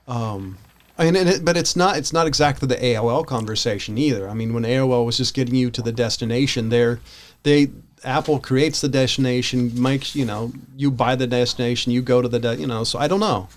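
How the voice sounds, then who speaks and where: A man's voice: medium pitch, a little fast. An American man co-hosting a podcast, talking casually about tech in a hesitant, rambling way that often corrects itself. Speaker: podcast co-host.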